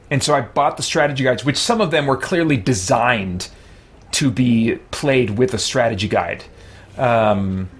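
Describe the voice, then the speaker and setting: A man hosting a let's play, chatting casually in a rambling, reflective way while he plays. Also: medium pitch. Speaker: gaming channel host.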